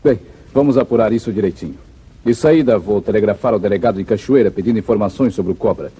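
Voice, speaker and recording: An actor playing a calm, determined backlands hero in a 1950s radio serial, formal and decisive. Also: male voice, lowish voice, fast talker, slightly rough. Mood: resolute. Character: calm, determined heroic male lawman of the backlands. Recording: old, noisy radio serial recording.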